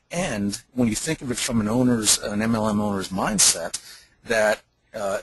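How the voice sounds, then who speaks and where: Low-voiced man pitching on a noisy call. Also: a bit bright. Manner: explanatory, wordy, salesman-like. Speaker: company founder. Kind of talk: business pitch on a conference call. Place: conference call. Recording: noisy conference call line with clicks.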